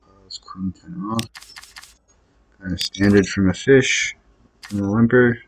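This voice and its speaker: A man's voice: lowish voice, steady tone, takes it slowly, slight background noise, rough recording. A man muttering his way through casual think-aloud commentary as he plays online poker, hesitant and questioning himself. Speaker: online poker player recording own session.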